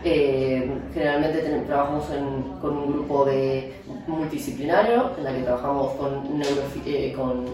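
Argentine-accented woman guest, explanatory, halting; echoey distant recording. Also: rumbling low, steady tone, a crowd in the background. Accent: Argentine Spanish. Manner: explanatory, many restarts and fillers. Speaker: neurologist guest. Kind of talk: interview answers. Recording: echoey room, distant mic.

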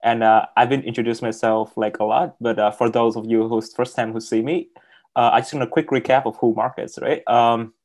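A young man who speaks English as a second language, telling his story as a guest on a video call, halting and repeating words with many fillers. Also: medium pitch, fairly even intonation, darkish tone. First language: not English. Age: young adult. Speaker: interview guest. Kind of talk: casual interview on a video call.

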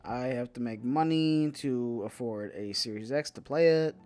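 A man's voice: mid-range voice, normal speed, a little mellow, dry recording. A man co-hosting a gaming podcast in casual chat, talking in a hesitant, rambling way and often repeating words. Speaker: co-host of a gaming news podcast.

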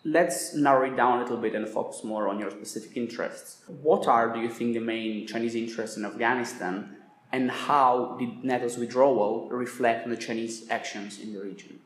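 A young man hosting a podcast, reading a formal introduction and asking questions, with a gravelly voice. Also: medium pitch, fairly even intonation, normal speed. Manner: formal, read-aloud delivery. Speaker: podcast host. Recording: echoey room, faint hiss.

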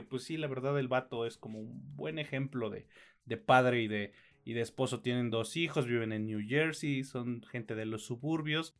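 A man's voice: medium pitch, a bit bright. A man with a Mexican accent co-hosting a film podcast, reviewing casually in a slangy, grumbling way.

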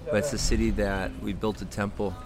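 A man's voice: on the low side, fairly even intonation, fairly quick, over loud music. A man from the American Midwest, speaking as a guest in a reflective, spiritual, rambling way during a casual outdoor talk. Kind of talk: casual conversation. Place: outdoors in a city. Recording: noisy outdoor street recording.

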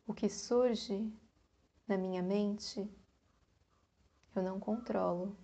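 A Brazilian woman guiding a meditation in a soft, breathy, calm voice, leaving long pauses between short phrases. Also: mid-range voice. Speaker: meditation guide. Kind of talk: guided meditation.

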